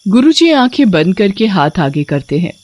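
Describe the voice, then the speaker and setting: Woman, horror story narrator, even storytelling tone, mid pitch. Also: moderate pace, dry recording, muffled recording.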